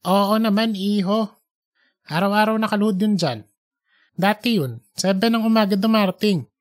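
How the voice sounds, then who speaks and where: A narrator voicing a chatty, higher-pitched church cleaning lady. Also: normal speed, natural voice. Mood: chatty, sure. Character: chatty, admiring female church cleaner, older than youth.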